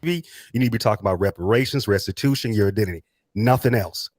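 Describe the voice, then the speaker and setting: A man's voice: fairly low pitch, fast, a little mellow, no room sound. A younger adult man arguing bluntly and combatively in a heated, profane podcast debate. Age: millennial adult, 20s to early 40s.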